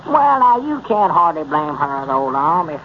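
A high-voiced old country storekeeper, rambling and evasive in a rural Southern accent. He hems and haws in folksy 1930s radio comedy style. The recording is muffled, with clear noise. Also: big pitch swings, a little slow. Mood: evasive, earnest.

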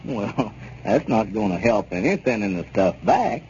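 A man's voice: fairly low pitch, sing-song, measured pace, darkish tone. A man playing a genial, sensible small-town neighbor who talks plainly and reassuringly in a 1930s radio comedy serial. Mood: surprised. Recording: dull, noisy old 1930s radio recording.

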